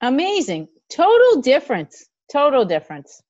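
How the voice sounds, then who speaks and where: A woman's voice: high-pitched, huge pitch swings, normal speed, a little mellow, no room sound. A woman hosting a podcast, enthusiastic and affirming as she asks questions. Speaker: podcast host. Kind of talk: casual podcast interview. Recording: dry, dull lo-fi audio.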